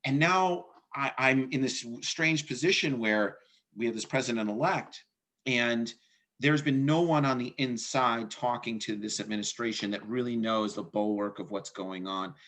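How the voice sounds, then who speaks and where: A man's voice: medium pitch, moderate pace, fairly bright tone. Guy hosting a politics chat on a video call, loose and chatty, trips over words a lot. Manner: conversational, repeats words, laughs easily. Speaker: host. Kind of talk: political discussion.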